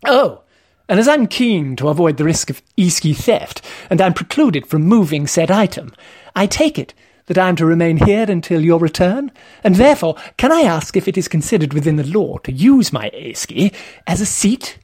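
A man's voice: high-pitched, very animated, moderate pace, darkish tone, husky, exaggerated voice. A man voicing a polite, exasperated British man in a comic story, speaking in a prim, wordy, formal way. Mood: icy, prim. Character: polite, put-upon Englishman, prim and exasperated.

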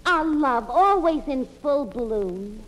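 Casting: gushing, gullible radio sweetheart, high and swooping, on a faintly noisy vintage recording. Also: female voice, animated, slow pace, fairly bright tone, full character voice. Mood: gushing romantic. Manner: gushing, overdramatic. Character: lovestruck, melodramatic, gullible sweetheart. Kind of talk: old-time radio comedy acting.

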